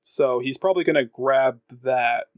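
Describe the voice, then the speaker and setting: A man's voice: mid-range voice, normal speed, dry recording. Hesitant man in a dry-sounding tabletop game. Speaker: role-playing game player. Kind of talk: casual tabletop role-play chat.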